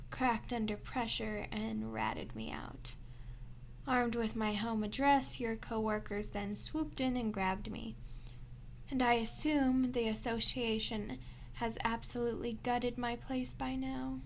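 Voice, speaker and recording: Sardonic, high-voiced vampire woman played by an actress. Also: a little hiss or hum. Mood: resigned, wry. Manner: sarcastic, grumbling, mocking. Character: sardonic, foul-mouthed vampire woman, haughty and teasing. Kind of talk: ASMR audio roleplay monologue.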